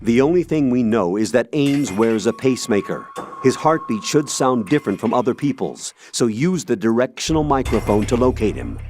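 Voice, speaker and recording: An actor playing a composed military commander in a video game, giving a firm, deliberate, instructional mission briefing. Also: male voice, mid-range voice, sing-song, a little mellow. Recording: faint game music behind the voice.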